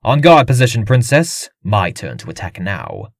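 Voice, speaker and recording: A man acts out a haughty aristocratic swordmaster for a comic dub. His pitch swings wildly as he taunts and scolds with blunt contempt. The sound is dry and close. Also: wildly swooping pitch, moderate pace, gravelly, no echo, heavily acted. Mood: stern, taunting. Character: haughty, boorish, menacing aristocrat fencing master.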